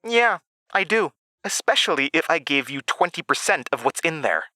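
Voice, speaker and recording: A man puts on a gloating teenage girl bully for a horror audio drama. The voice is lifted in pitch and bright, sneering and taunting through every line. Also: very animated, normal speed, no echo, studio quality. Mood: smug, taunting. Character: smirking, gloating thirteen-year-old school bully girl.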